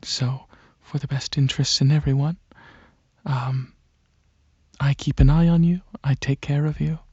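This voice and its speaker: A man playing a gentle dragon alien prince in a soft-spoken ASMR roleplay, talking to the listener in a hesitant, soothing way with many pauses. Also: mid-range voice, steady tone, husky, dry recording, lo-fi sound. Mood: soft, coaxing. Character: gentle dragon alien prince, centuries-old royal researcher, softly coaxing.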